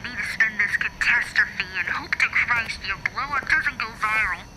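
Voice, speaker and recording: A woman playing a blunt, impatient literary agent, brisk and scolding in an audio drama. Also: on the high side, very noisy, natural voice. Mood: exasperated, scolding. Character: blunt, impatient female literary agent pressuring her client.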